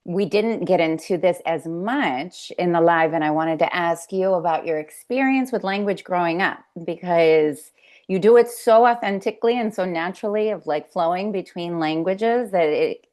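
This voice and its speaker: A woman hosting an interview over a video call, talking in a halting way with many restarts and slipping into Spanish words. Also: lowish voice, darkish tone. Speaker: host. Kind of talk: casual interview chat.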